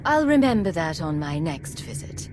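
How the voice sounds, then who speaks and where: An actress playing a composed, authoritative secret agent commander in a video game, firm and diplomatic. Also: female voice, low voice, sing-song, moderate pace, heavy background noise. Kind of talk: video game cutscene acting.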